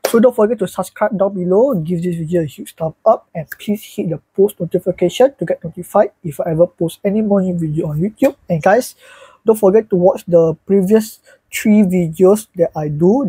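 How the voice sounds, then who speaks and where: Guy in his early 20s vlogging from his bedroom, husky voice, dry close sound, keeps saying so yeah. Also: sits high, moderate pace, warm tone, no room sound, rough recording. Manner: chatty, loose, repeats "so yeah" and "alright, guys". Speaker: YouTuber. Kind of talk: casual vlog talk to camera. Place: small bedroom with a fan, indoors, seated.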